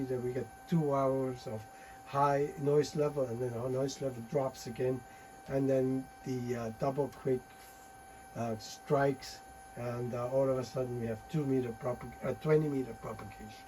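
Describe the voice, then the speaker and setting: Guy giving a tech talk, mid pitch, stumbly, keeps restarting words. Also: darkish tone, slight background noise, low-quality recording. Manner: halting, frequent uh and restarts. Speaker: presenter at a technical conference. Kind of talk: technical presentation, reading from slides.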